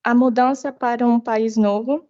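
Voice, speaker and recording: A woman's voice: a little high, fairly even intonation, brisk, dark tone. A teenage girl, a native Portuguese speaker, giving testimony as a student in short phrases. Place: committee hearing. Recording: dull, muffled audio.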